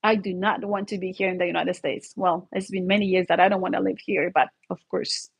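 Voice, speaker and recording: A woman's voice: fairly low pitch, little pitch movement, a little fast, vocal fry, slightly rough. A woman from Mexico with a Mexican accent and Spanish as her first language hosts an informal solo vlog, talking in a rambling, conversational way and often checking for agreement.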